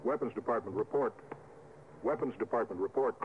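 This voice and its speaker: An actor playing a stern, wary spaceship captain in a commanding, clipped 1950s radio drama style. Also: male voice, medium pitch, brisk, natural voice. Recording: muffled, noisy vintage radio recording.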